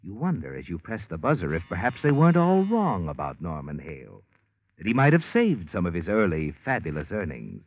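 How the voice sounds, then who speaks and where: A man's voice: deep voice, wildly swooping pitch, fairly quick, full character voice. A man narrating a 1940s radio drama, speaking to the listener as "you" in a knowing, insinuating manner. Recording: tinny lo-fi old radio with faint music.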